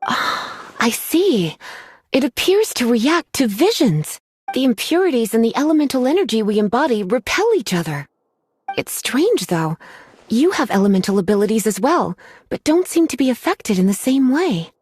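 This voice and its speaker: A woman voicing a languid, teasing witch librarian in a video game, with a breathy, coy delivery. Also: fairly high pitch, big pitch swings, normal speed, darkish tone, a little hiss or hum. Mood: intrigued, playful.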